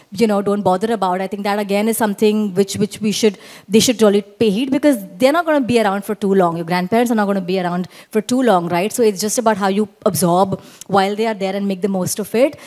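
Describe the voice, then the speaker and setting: A woman's voice: mid-range voice, a little mellow. A woman in her forties with an Indian English accent, speaking as a panelist on a conference stage into a handheld mic, earnest and encouraging. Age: 40s. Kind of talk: panel discussion.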